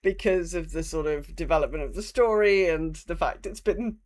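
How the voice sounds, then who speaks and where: A woman's voice: fairly low pitch, moderate pace, darkish tone. A woman co-hosting a podcast, chatting casually about a video game in a wry, rambling way that can turn sweary. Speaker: podcast co-host.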